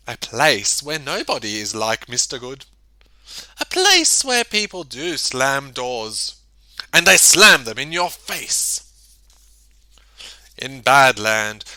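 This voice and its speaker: Guy reading a kids book, super swoopy and theatrical, takes his time, crisp bright voice, big pauses. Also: mid-range voice, no echo. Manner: theatrical, emphatic, dramatic pauses. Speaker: storybook reader. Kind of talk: expressive children's read-aloud narration.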